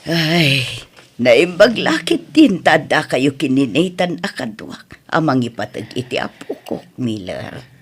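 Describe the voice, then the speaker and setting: A woman playing an animated, low-voiced Ilocano grandmother. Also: a little slow, breathy edge, full character voice. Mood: affectionate. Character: caring older mother and grandmother, soothing and fussing. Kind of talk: Ilocano audio drama acting.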